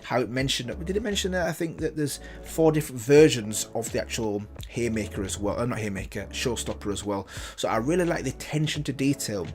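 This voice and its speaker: A man's voice: medium pitch, expressive, a little fast, hardly any pauses, a little mellow, faint music behind. A young man hosting a reaction video, enthusiastic and rambling, often restarting and repeating himself. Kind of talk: casual reaction commentary.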